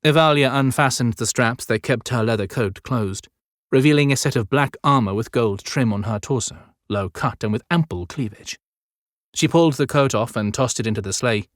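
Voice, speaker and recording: A man's voice: medium pitch, moderate pace, no echo, clean studio sound. A man narrating scripted fiction as an audiobook storyteller.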